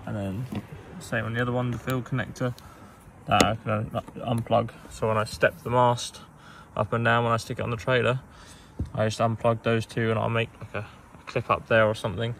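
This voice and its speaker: A young man casually narrating electrical work on his small sailboat outdoors, relaxed and rambling with ums and self-corrections, recorded on an action camera. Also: mid-range voice, normal speed, gravelly, slight background noise. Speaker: sailing vlogger. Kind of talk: casual how-to narration while working.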